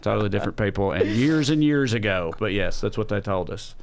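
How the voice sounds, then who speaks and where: A man's voice: on the low side, expressive, a little fast, vocal fry. A man, hosting a comedy podcast with casual, rambling storytelling that often doubles back mid-sentence.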